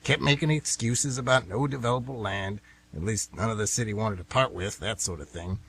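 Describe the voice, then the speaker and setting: A man's voice: lowish voice, fairly quick, a bit bright, husky. An actor with an American accent playing an indignant, stubborn older man, folksy and emphatic, in a radio play. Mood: indignant storytelling. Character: stubborn, indignant old male former baseball fan.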